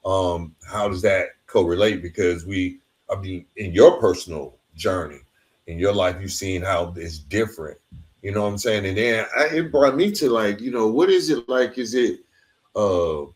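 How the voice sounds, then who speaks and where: An American man hosting a casual podcast interview, searching haltingly for his questions. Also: deep voice. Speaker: podcast host.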